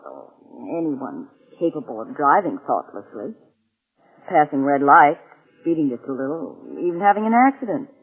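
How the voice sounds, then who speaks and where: A woman's voice: deep voice, very animated, normal speed, breathy edge, heavily acted. An actress playing a solicitous, earnest middle-aged policeman's wife, loving and morally firm, in an old-time radio drama. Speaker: radio actor. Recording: narrow, fuzzy vintage radio sound.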